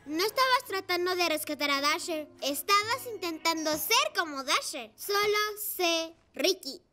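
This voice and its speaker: Young girl scooter, very high, bright, frank, enthusiastic, cartoon. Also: animated, measured pace, full character voice. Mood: firm, encouraging. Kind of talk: animated children's cartoon dub.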